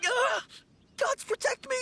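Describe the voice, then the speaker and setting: A woman's voice: very high-pitched, steady tone, slow, vocal fry, heavily acted. A woman voicing a timid young male rookie undertaker in a video game, with a skittish, stammering, pleading manner. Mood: terrified. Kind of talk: video game character acting.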